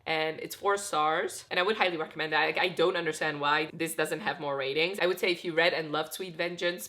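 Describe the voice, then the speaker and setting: Low-voiced woman chatting about books. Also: sharp tone. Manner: chatty and candid, rambling asides. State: getting sick, sore throat, tired. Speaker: book reviewer. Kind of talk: casual book review.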